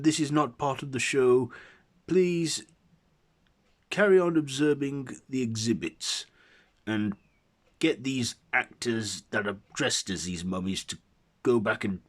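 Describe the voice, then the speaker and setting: A man voicing a fussy, indignant museum curator, loud and officious, in a dramatic story. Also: medium pitch, pitched above the performer's own voice, natural voice.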